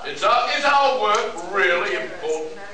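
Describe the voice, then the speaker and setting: A man acts out a jaded young space adventurer in a staged science fiction radio play. He grumbles and declaims slowly in a very high register. The sound is distant and heavily echoed, with some audience murmur behind. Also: animated, crowd noise. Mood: weary, grumbling. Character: weary, cynical young male botanist-adventurer in space. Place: live performance in a convention room.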